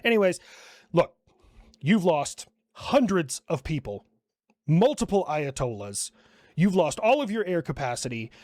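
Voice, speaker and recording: Blunt, animated man with a highish voice. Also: a little slow, pauses often, no room sound, clean studio sound. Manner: profane, blunt. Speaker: comedy skit creator. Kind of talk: conversational satirical news commentary.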